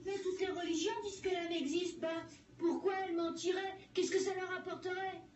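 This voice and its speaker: A naive little cartoon kid, very high voice, echoey playback. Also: female voice, fairly even intonation, fairly quick, darkish tone. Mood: earnest. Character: earnest, naive young cartoon boy, gullible then crafty. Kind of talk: French-dubbed cartoon acting. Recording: muffled, echoey, distant cartoon playback with music.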